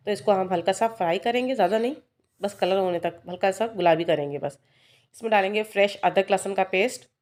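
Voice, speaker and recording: A woman's voice: medium pitch, a little mellow. A woman vlogging at home in her kitchen, chatty and talking viewers through each step, with a sore throat. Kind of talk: casual cooking vlog narration.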